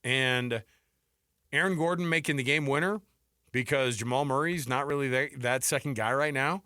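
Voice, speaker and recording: Bright-voiced male sports radio host. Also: mid-range voice, normal speed, no echo, studio quality. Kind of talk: animated sports banter. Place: radio studio. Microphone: close studio microphone.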